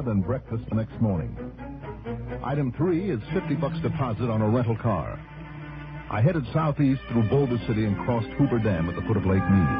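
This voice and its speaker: A man's voice: medium pitch, natural voice. An actor playing a confident, wry male insurance investigator in an old radio drama. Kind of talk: 1960s radio drama acting and first-person narration. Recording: muffled old radio recording.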